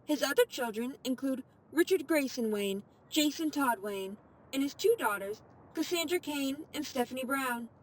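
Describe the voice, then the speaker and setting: A woman's voice: sits high, expressive, moderate pace, slight background noise, poor audio. A woman voicing a composed, formal AI assistant in an audio drama, giving information in a precise, matter-of-fact way. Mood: calm, informative. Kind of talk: audio fiction dialogue.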